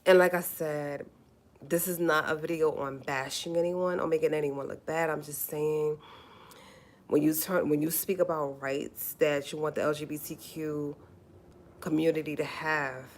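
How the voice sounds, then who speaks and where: A forty-year-old woman talks straight to her livestream viewers. Her voice sits very low, and she hammers her points home by repeating them. She calls listeners honey as she goes. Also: steady tone, normal speed. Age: 40s. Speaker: livestream host. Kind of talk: casual livestream talk.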